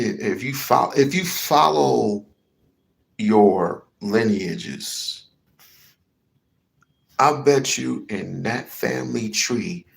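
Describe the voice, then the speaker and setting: A man's voice: lowish voice, gravelly. A man hosting a heated commentary rant, slangy and swearing, repeating himself for emphasis. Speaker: commentary show host.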